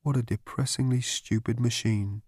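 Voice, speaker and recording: A man's voice: mid-range voice, steady tone, moderate pace, darkish tone, no room sound, clean studio sound, close to an everyday voice. A man voicing a gloomy, put-upon menial robot with a dismal, bitter way of talking, in an audiobook. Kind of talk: audiobook character voice.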